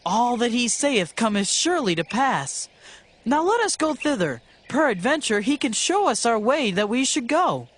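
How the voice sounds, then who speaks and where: A woman's voice: fairly high pitch, big pitch swings, normal speed. A woman voicing a helpful, hopeful young servant, earnest and persuasive, in a dramatized scripture reading.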